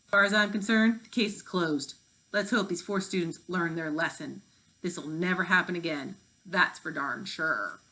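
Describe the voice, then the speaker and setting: A woman's voice: lowish voice, moderate pace, bright, forceful tone, low-quality recording. A woman reading the part of a folksy, world-weary female police chief in a wry, plainspoken manner.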